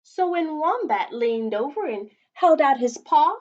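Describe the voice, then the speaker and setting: A young woman reads a children's story aloud in a high voice, lingering slowly over the words. She checks in with her young listeners through questions, and the webcam sound in a small room is slightly dull. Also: animated, a little mellow. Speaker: teacher reading aloud. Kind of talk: expressive picture-book read-aloud with questions to children. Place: small indoor playroom.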